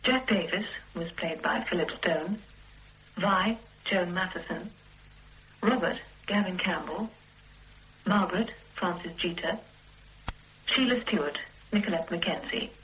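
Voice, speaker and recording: A woman's voice: fairly low pitch, a little slow, pauses often, a bit bright, slight background noise, low-quality recording. A woman announcer formally reading out the cast list at the end of a radio play.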